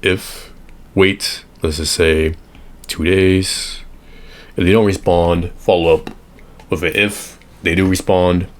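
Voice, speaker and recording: A young man with a deep, low voice, hoarse from a recent illness. He walks through automation setups in a loose, rambling way, stopping to correct himself as he sketches. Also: little pitch movement, takes it slowly. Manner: rambling, self-correcting, drawing on an iPad. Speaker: automation consultant. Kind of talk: casual screen-share tutorial.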